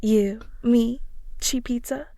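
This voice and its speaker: A woman acting as a flustered, playful young best friend with a high voice full of fry. She chats and teases in a giggly, stammering way, dipping into breathiness, speaking straight to the listener in a close, dry audio roleplay. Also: fairly even intonation, slow pace, dark tone, no echo. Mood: playful, enthusiastic. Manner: giggly, stammering, teasing, chatty. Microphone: close studio-style mic, dry.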